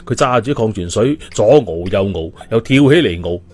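A man telling a story as an expressive audiobook narrator. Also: lowish voice, normal speed. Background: music.

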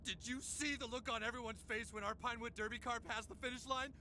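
A man voicing a hot-headed, boastful, foul-mouthed suburban dad in an animated comedy. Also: very high-pitched, fast, a little hiss or hum, heavily acted. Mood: excited boasting. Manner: excitable, competitive, swearing.

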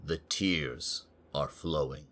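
Ominous, very deep-voiced man played by a male actor. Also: sharp tone, full character voice. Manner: slow, portentous. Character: ominous cryptic man warning of the night. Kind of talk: audio drama acting.

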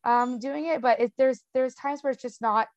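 A woman's voice: sits high, steady tone, moderate pace, dry recording, muffled recording. A young woman with a stutter, talking casually as an interview guest, with sound repetitions, blocks and lots of "um" and "like".

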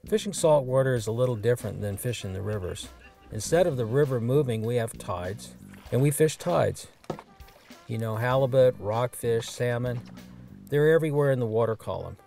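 A man giving calm, measured scripted narration for an outdoor show. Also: mid-range voice, vocal fry, soft background music.

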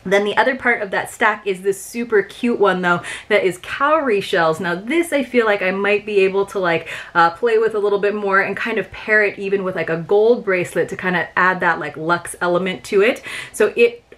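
A woman's voice: medium pitch, normal speed, a bit bright. A middle-aged woman chatting informally to viewers as she reviews items from a subscription box, sprinkling her talk with "like". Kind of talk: casual product unboxing chat to viewers. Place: small furnished room at home, indoors.

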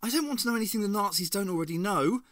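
A tough young woman, urgent and persuasive, her voice pitched high and pushed loud, as a man voices her in a dry, close read. She sounds quick and defiant. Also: big pitch swings, dry recording, heavily acted.

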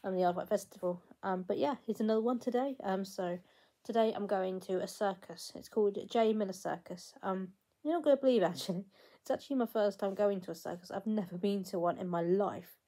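A woman's voice: medium pitch, fairly quick, mellow. A woman in her twenties vlogging casually to her phone at home, chatty and rambling with lots of fillers and restarts. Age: 20s. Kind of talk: casual vlog to camera. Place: small room at home, indoors. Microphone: phone held at arm's length.

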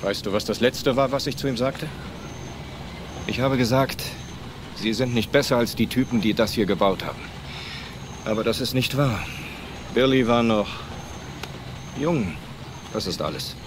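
A man acting a weary, regretful paleontologist in a film dub, speaking in a halting, reflective, kindly way. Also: mid-range voice, lightly acted. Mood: regretful, pensive. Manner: halting, pensive delivery. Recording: noisy outdoor film soundtrack.

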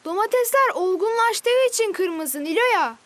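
A woman's voice: extremely high pitch, lively intonation, a little slow, fairly bright tone, dry recording, full character voice. A woman voicing a cheerful young boy, a teasing big brother in a children's cartoon. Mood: amused. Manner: boyish, teasing.

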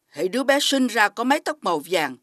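A woman playing an imperious, scheming old witch in an animated fairy-tale dub. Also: fairly high pitch, quick pace, dry recording, clean studio sound. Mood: cold, imperious. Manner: imperious, commanding. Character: scheming, menacing old witch demanding a child. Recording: music bed under the voice.

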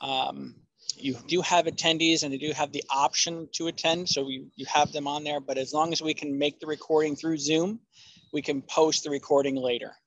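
Man, board member, slightly high voice, polite, deliberate, dry sound. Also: fairly even intonation, a bit bright, no room sound, low-quality recording. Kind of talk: procedural suggestion in a meeting.

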